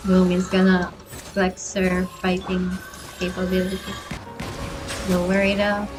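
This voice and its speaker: A woman's voice: fairly low pitch, completely flat delivery, measured pace. A woman streamer giving casual reactions while playing a game. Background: game music.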